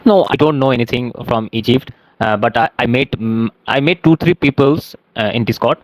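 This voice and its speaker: Man, halting practice English, dry close sound, mid-pitched. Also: dry recording. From India. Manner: halting non-native English, many fillers and restarts. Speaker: conversation partner practicing English. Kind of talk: casual English practice chat.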